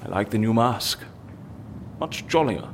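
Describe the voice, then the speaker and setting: An eager, curious male tourist with a low, very expressive voice. He takes his time over his words and presses politely for answers, over a faint background rumble. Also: measured pace. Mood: surprised, eager. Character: curious, eager visitor to Venice, politely insistent. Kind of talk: naturalistic audio drama acting.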